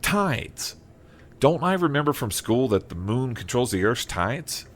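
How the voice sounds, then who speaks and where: A man's voice: medium pitch, sing-song, a little slow, fairly bright tone. A man playing a humble, plain-spoken farmer from Iowa in an audio drama, a little hesitant and folksy. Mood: hesitant, concerned. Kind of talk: audio drama acting and first-person narration.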